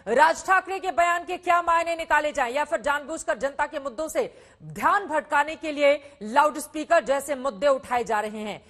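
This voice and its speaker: High-pitched woman news debate anchor. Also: fairly bright tone.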